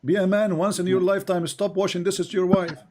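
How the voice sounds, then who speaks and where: Hoarse mocking man, high voice. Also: little pitch movement, fast, darkish tone, slight background noise. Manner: mocking, insistent, repeats himself. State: hoarse, losing his voice. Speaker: debate host. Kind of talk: heated call-in debate.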